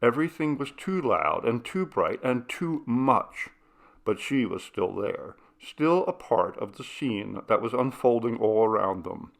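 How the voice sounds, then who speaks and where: A man narrates a piece of fiction in a gravelly, creaky voice. His pitch sits in an ordinary male middle range, and he tells the story expressively, as an audiobook reader would. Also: expressive, a little slow. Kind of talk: narrated short fiction reading.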